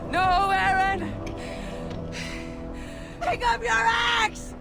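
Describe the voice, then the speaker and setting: A woman's voice: very high-pitched, steady tone, drawn-out words, gravelly, music in the background, exaggerated voice. A woman playing a desperate Viking wife who cries out and pleads in a video game cutscene. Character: desperate Viking wife urging her husband on.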